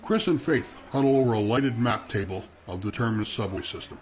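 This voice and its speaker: A man narrating the scenes of a radio play in a measured, descriptive way. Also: medium pitch, lively intonation, darkish tone, slight background noise.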